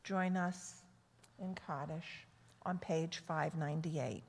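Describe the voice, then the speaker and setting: Slow-spoken woman in an echoey sanctuary. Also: low voice, fairly even intonation, creaky, darkish tone. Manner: slow, measured reading of names. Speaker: service leader. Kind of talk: leading a synagogue service. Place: temple sanctuary. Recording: echoey sanctuary with long reverb.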